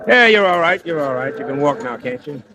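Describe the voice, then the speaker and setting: A man's voice: a little high, lively intonation, fairly quick, rough recording. A man playing a casual, reassuring doctor in a 1940s radio drama. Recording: old radio, street crowd behind.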